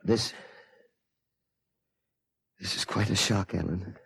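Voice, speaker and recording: An actor playing a worried, bewildered middle-aged father in a 1950s radio drama, halting and incredulous. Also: low-pitched, fairly even intonation, vocal fry, a bit bright, slightly rough, no room sound, exaggerated voice. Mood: shaken. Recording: vintage 1950s radio broadcast recording.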